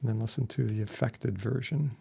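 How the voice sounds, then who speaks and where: A man instructing in an informal software tutorial, chatty and plain-spoken with a wry streak. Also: lowish voice, brisk, gravelly, over loud music. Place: indoors, voice-over for a screen recording.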